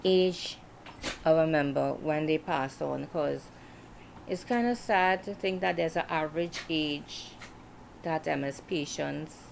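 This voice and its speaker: A woman in her forties talking casually on a livestream, reflective and hesitant, with many pauses and fillers. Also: sits low, gravelly, noisy background. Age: 40s. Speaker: writer hosting a livestream.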